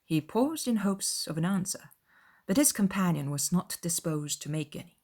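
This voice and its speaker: Low-pitched, expressive woman narrating. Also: normal speed. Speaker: audiobook narrator. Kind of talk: dramatized literary narration.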